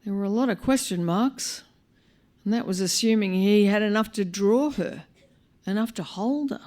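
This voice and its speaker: An Australian woman reading a short story aloud live, in an expressive, wry manner with dramatic pauses. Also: medium pitch. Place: live on stage at a small venue.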